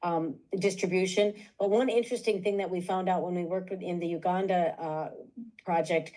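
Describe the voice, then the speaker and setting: A woman gives an academic talk over a video call, laying out ideas and backing them with field stories. Her voice is on the low side and mellow. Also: fairly even intonation, normal speed, low-quality recording. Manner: explanatory, illustrates points with stories. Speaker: guest lecturer. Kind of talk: academic lecture and Q&A answer.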